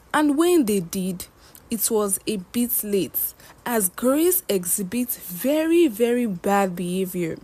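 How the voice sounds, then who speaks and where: Female narrator for folktales, unhurried delivery with a lively, wide-swinging pitch. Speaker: storyteller.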